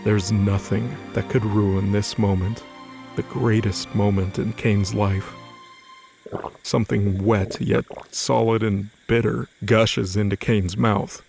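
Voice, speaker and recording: Low-pitched man narrating an audio drama. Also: fairly even intonation, slow, vocal fry, a little mellow. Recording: faint music behind the voice.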